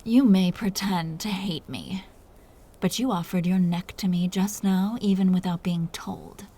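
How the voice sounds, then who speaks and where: A woman voicing a seductive, dominant female vampire captor in an intimate audio roleplay, speaking in a breathy, teasing whisper. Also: lowish voice. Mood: seductive, possessive. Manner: slow, teasing, softly condescending.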